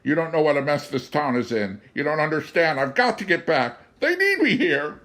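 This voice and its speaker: A man's voice: mid-range voice, brisk, pitched above the performer's own voice, close to an everyday voice. A man voicing a desperate young man who pleads urgently and breathlessly in a raised voice.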